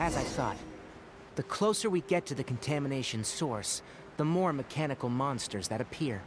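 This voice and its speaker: A man playing a calm, considerate young forest ranger in a video game, measured and instructive with a dry edge. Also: mid-range voice, slight background noise, close to an everyday voice. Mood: calm, cautious. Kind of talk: scripted video game dialogue acting. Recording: studio voice over game music.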